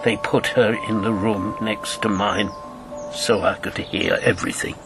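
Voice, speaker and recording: A man's voice: lowish voice, steady tone, music in the background. An actor in a radio drama playing an embittered, grieving old man, once a wartime secret agent, speaking with weighty deliberation. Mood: haunted, sombre. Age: 70s. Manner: slow, weighty reminiscing with bitter outbursts.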